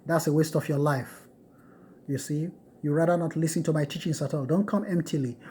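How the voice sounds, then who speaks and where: A man's voice: on the high side, steady tone, brisk, dark tone, a little hiss or hum. A middle-aged man from Nigeria giving an emphatic, repetitive motivational lecture to his students.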